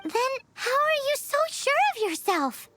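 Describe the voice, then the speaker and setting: A woman playing a tiny, childlike fairy companion in a video game, squeaky, excitable and naive. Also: a little slow, heavily acted. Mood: worried. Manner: excitable, exclamatory. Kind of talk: animated video game voice acting. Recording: music behind the voice.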